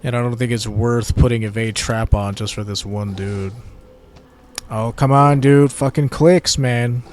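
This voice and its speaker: A man's voice: medium pitch, fairly even intonation, unhurried, a little mellow, faint music behind. A young adult man with a tired voice, giving laid-back, slangy gameplay commentary and swearing at mishaps. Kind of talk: casual let's-play commentary.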